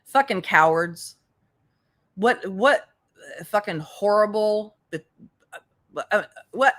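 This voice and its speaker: A woman's voice: medium pitch, expressive, takes it slowly, fairly bright tone. A woman giving casual, unscripted livestream commentary in a blunt, profane, exasperated manner, repeating points for emphasis as she goes. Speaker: livestream host and legal commentator.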